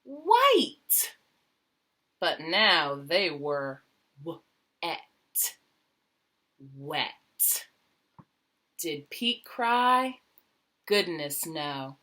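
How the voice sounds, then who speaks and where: A woman reading a children's picture book aloud expressively, stretching key words and dropping into quieter asides with questions for young listeners. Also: low voice, very animated, very slow, long pauses.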